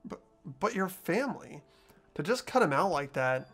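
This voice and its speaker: A man's voice: high voice, sing-song, normal speed, fairly bright tone, heavily acted. A man voicing an earnest, sympathetic and unsure teenage schoolboy in a video game, with a hesitant delivery. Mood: startled, pleading. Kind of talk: amateur video game voice acting. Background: background music.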